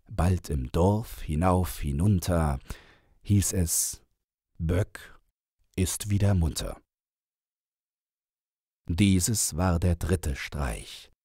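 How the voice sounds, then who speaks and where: A man reading a rhymed children's story aloud as an audiobook, in a deliberate, theatrical manner. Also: very deep voice, warm tone, husky, no echo, clean studio sound. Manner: deliberate, theatrical, measured verse delivery. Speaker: audiobook reader.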